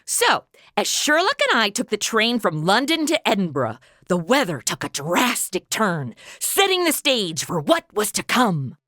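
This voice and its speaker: A woman's voice: medium pitch, very animated, a little slow, few pauses, fairly bright tone, no echo, clean studio sound. An actress playing a brash, carefree young woman in a comedy audio drama, slangy and bossy. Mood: self-assured.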